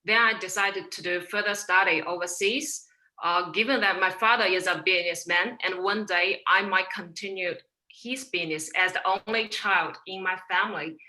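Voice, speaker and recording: Guest speaker: woman, Chinese accent, very bright sharp tone, low-set voice, formal measured delivery on a video call. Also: low-quality recording. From China. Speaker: webinar guest, procurement professional. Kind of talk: prepared personal story.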